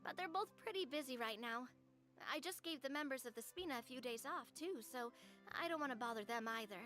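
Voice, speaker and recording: A woman's voice: sits high, expressive, brisk, bright, forceful tone. A woman voicing a sociable, bubbly young lady in a video game, with a playful, effusive and theatrical manner. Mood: weary. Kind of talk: animated video game voice acting. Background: game music.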